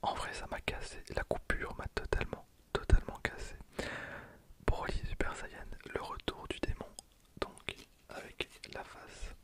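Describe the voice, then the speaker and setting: Young man in a full ASMR whisper. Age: 20s. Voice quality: breathy, voiceless whisper. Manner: hushed, often repeating phrases slowly. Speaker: ASMR video creator and host. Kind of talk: ASMR whispering, card unboxing commentary. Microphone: handheld stereo recorder used close up.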